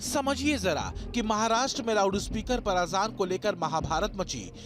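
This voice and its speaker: A man's voice: high voice, normal speed. A man delivering dramatic scripted television news narration in an emphatic, rhetorical manner. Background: background music.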